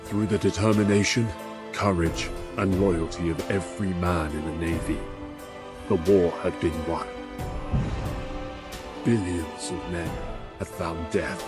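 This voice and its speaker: A man playing a solemn war veteran, delivering scripted dramatic narration of a grim space chronicle in a measured, theatrical way. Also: low-pitched, steady tone. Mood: somber, reflective. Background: loud music.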